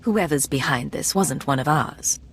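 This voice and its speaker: A woman's voice: sits low, lively intonation, a little slow, creaky, slight background noise, exaggerated voice. A woman playing a cool, resolute Imperial intelligence agent in a video game, speaking in a composed, clipped and stern way. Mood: calm, probing. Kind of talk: scripted video game dialogue.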